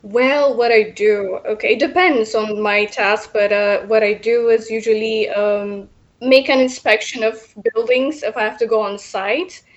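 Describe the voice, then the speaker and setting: A young woman from Ethiopia, a civil engineer answering radio interview questions with many pauses and fillers. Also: a little high, steady tone, muffled recording.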